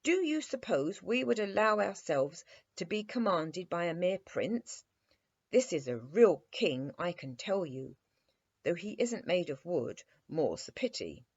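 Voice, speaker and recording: A woman voicing a stern, self-important male toy captain with a gruff manner, in a children's storybook reading. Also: on the low side, big pitch swings, a little slow, a little mellow, muffled recording, full character voice. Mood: gruff, indignant.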